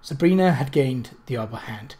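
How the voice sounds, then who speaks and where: A man reading fiction aloud as a narrator, in a deliberate, dramatic manner. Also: medium pitch, expressive, mellow. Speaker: audiobook reader.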